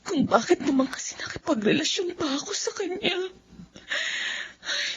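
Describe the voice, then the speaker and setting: High-voiced anguished Filipino wife. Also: female voice. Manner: emotional, melodramatic, hesitant. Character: guilt-ridden married woman, anguished, hiding a secret. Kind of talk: Filipino radio drama acting. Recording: dull radio-drama sound with background music.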